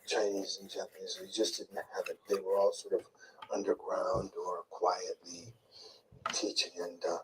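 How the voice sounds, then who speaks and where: An older man slowly recalling his past in a soft, murmuring, rambling way, often trailing off. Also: low voice, flat delivery, slight background noise, poor audio. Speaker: interviewee in played clip. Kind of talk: slow rambling recollection.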